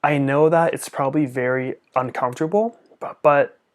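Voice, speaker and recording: A man's voice: medium pitch, fairly even intonation, moderate pace. A young man, a speech-language pathologist, answering viewer questions in a casual vlog, earnest and open, stuttering often with repeated sounds and blocks.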